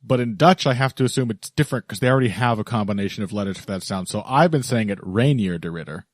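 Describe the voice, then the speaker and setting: A man's voice: lowish voice, fast talker, no echo, studio quality. A male podcast co-host chatting casually and analytically about fights, teasing his co-host and laughing easily.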